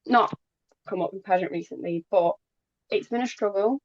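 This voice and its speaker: Podcast guest: young woman, Polish native speaker, casual and halting delivery, mid pitch, dry recording. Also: no room sound, lo-fi sound. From Poland, moved to England at ten. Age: young adult. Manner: halting, occasional stumbles over words. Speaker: podcast guest, pageant queen. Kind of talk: casual interview chat.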